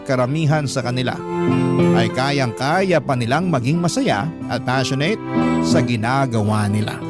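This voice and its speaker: A man narrating a scripted first-person story as a radio drama host, in a measured storytelling delivery with pauses. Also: medium pitch. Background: guitar music.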